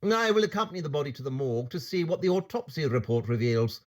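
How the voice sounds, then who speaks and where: An actor playing a brilliant, arrogant, condescending detective in an audio drama, with a clipped, sarcastic, lecturing manner. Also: male voice, on the high side, animated, fast, close to an everyday voice. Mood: coolly analytical.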